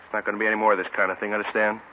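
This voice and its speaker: A man's voice: lowish voice, level tone, racing, close to an everyday voice. An American man playing a tough, no-nonsense police detective in a 1950s radio crime drama, blunt and hard-boiled. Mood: stern. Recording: muffled, noisy old radio recording.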